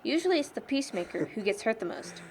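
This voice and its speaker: A woman's voice: fairly high pitch, big pitch swings, fast talker, very noisy. A young woman playing a blunt, sardonic teenage churchgoing girl in an amateur audio drama, frank and slangy. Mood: chatty, exasperated.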